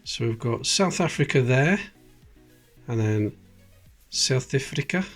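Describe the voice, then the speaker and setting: A man's voice: medium pitch, lively intonation, takes it slowly, faint music behind. A British man hosting a coin collecting video, chatting casually and reading out dates as he sorts through coins at a table. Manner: chatty, reads out dates as he goes. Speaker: coin collecting channel host. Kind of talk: casual commentary while sorting coins. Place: indoors at a table. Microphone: close camera, hands-only framing.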